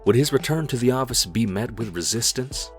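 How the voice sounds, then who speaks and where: A man narrating a comic book script in a dramatic, deliberate storytelling manner. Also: mid-range voice, animated, faint music behind.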